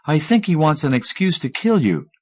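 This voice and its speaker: A man's voice: a little high, very animated, moderate pace, dry recording, pitched above the performer's own voice. A man voicing an earnest, laid-back mystical woman minister, musing, in an audiobook. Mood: piping up. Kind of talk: acted audiobook dialogue.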